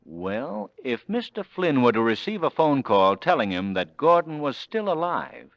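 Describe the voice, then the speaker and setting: A confident, scheming gentleman sleuth in a radio mystery. His speech is smooth and wry with lively intonation, heard on a slightly muffled old recording. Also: medium pitch, unhurried, no echo. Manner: smooth-talking, sardonic, assured. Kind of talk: old-time radio drama acting.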